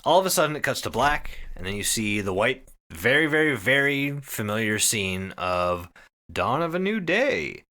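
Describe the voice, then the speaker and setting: A man with a bright, forceful voice retells a story as a podcast host. His delivery is casual and full of swearing, with pitch swinging widely as he goes. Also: normal speed. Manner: profane, animated retelling. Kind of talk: casual storytelling recap with co-hosts.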